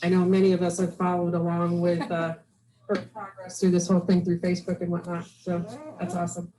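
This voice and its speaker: A woman leading a club meeting and giving upbeat, brisk announcements into a handheld microphone. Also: lowish voice, monotone, dark tone. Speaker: meeting leader. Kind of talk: casual club meeting chat. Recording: echoey meeting room, faint background noise.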